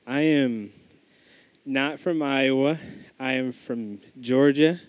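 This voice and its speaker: A man's voice: medium pitch, slow pace, no echo, muffled recording. A young American man giving an informal sermon, reading scripture, praying and telling his own story in a casual, rambling way with many fillers and restarts. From United States. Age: young adult. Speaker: student preacher. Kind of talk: informal sermon with prayer and testimony.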